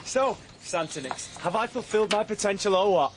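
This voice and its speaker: An actor playing a brash young working-class husband in a radio drama, blunt and colloquial. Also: male voice, sits high, animated, normal speed, noisy background. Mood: cocky. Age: young adult. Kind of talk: radio drama acting and first-person narration.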